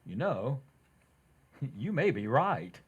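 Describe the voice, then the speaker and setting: Oblivious radio-comedy husband, played by a woman: very deep for a woman, husky, slow, dryly teasing. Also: sing-song, lo-fi sound, exaggerated voice. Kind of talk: old-time radio comedy acting.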